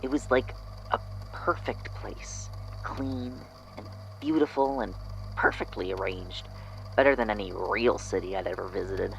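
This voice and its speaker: A man's voice: on the low side, a little slow, gravelly, slight background noise, poor audio. A man acting as an unsettled narrator in an audio drama, hesitantly and reflectively recounting an eerie memory. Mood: uneasy wonder. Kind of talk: audio drama statement, hesitant first-person storytelling.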